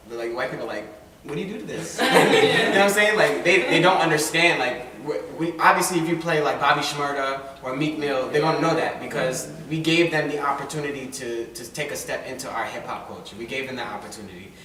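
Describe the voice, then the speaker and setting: A man's voice: medium pitch, pushed and bright. A young man, a student, talking animatedly and emphatically in a casual group discussion. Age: young adult. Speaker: student panelist. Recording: echoey room, mic far off, background noise.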